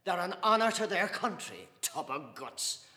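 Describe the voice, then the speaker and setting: A man reading aloud, voicing a boisterous, mocking middle-aged father who blusters loudly, with an echoey room and a long reverb. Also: high voice, sing-song, unhurried, pitched above the performer's own voice, exaggerated voice. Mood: scornful. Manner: loud, sneering, jovial bluster.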